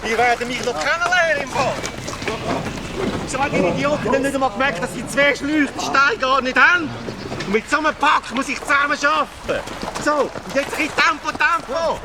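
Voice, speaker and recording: Captain Gogo, a performer doing a barking bossy captain. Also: male voice, very high voice, a little fast, heavy background noise, voice pushed down. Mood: impatient, barking. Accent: Swiss German. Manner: barking orders, impatient. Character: blustering bossy male boat captain. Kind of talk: children's radio play acting.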